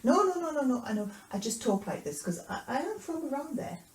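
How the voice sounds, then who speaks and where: A woman's voice: fairly high pitch, big pitch swings, measured pace, a little mellow, reverberant. Woman acting out a flustered, emphatic male disciple. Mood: flustered, defensive. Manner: emphatic, repetitive denials. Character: Peter, impulsive, frightened, blustering male disciple.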